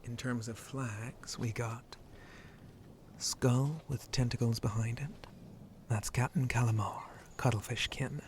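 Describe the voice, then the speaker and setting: A man playing a flirty, roguish shark-monster pirate in an ASMR roleplay, breathy and often whispering, with a teasing, rustic pirate way of talking. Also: mid-range voice, fairly even intonation, slow, slight background noise, natural voice. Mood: instructive, easygoing. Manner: rustic pirate dialect, hesitant drawl, teasing.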